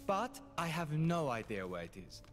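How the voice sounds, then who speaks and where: Game butler type, dry wit, expressive, echoing hall sound. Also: male voice, on the high side, normal speed, soft background music. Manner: deadpan, politely sarcastic. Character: dry, loyal male manservant to a sorcerer. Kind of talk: video game cutscene acting.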